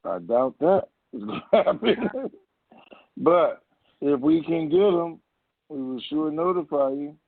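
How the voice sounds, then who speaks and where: A man with a fairly high, gravelly voice hosts a call-in line. He talks casually in a plain, folksy way. The sound is narrow and dry, like a telephone. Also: expressive, takes it slowly, dry recording. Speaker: host of a call-in conference call. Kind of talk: casual unscripted phone talk with callers. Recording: muffled narrow phone line.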